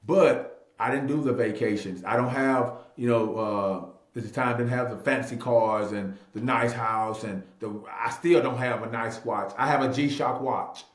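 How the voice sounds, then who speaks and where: An echoey room carries the voice of a thirty-year-old man with a middle-range pitch. He tells personal stories in a casual interview style. He leans on repeated phrases for emphasis and slips into quoted dialogue. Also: measured pace, hardly any pauses. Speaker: real estate agent and investor being interviewed. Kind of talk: animated personal storytelling in casual talk.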